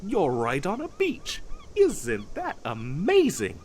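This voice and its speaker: A man's voice: fairly high pitch, huge pitch swings, slow, darkish tone, a little hiss or hum, full character voice. A man playing an eager, pushy wizard salesman in a comedic fantasy roleplay, chatty and stammering through his sales patter. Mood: enthusiastic.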